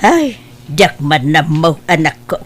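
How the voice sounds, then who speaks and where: A woman with an Ilocano accent playing a gentle, worried mother of grown children in an audio drama. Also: low-pitched, sing-song, unhurried. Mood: pleading.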